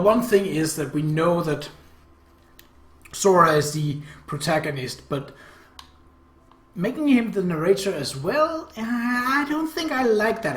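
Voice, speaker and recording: A let's play host commenting on a game and reading its text aloud, breaking off into hesitant, critical asides. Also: mid-range voice. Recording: echoey room.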